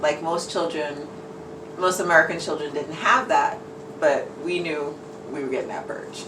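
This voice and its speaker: Low-voiced woman, distant and roomy. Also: fairly even intonation, moderate pace. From New York. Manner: chatty, laughs often. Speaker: interviewee. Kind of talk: casual interview chat. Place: herbal shop. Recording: roomy, distant mic, noisy background.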